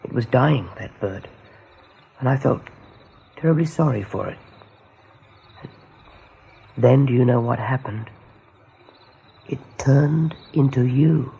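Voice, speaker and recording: A man's voice: mid-range voice, slow pace, gravelly, pauses often, dark tone, slightly rough, exaggerated voice. An actor playing an anxious young male rabbit seer in a radio play, urgent, hesitant and pleading. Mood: grave, pleading. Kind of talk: radio drama acting.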